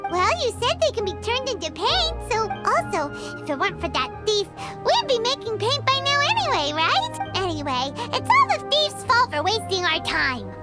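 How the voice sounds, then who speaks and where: Tiny fairy girl companion, squeaky childlike voice, extremely high pitch, wildly animated, excitable, game dialogue, loud music behind. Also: moderate pace, few pauses, full character voice. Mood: chatty, eager. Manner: excitable, exclamatory, refers to self by name. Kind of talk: video game voice acting.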